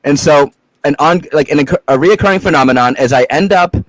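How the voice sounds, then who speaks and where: A man's voice: a little high, fast, dry recording, low-quality recording. A young adult man from Massachusetts, a podcast guest chatting casually in a loose, rambling way with frequent swearing and fillers. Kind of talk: casual chat.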